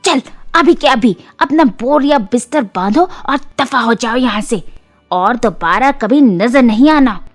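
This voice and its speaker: A woman's voice: a little high, lively intonation, unhurried, soft background music. A woman voicing a harsh, scornful middle-aged aunt in a storybook cartoon, scolding and ordering people about. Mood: furious. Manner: scolding, sneering, commanding.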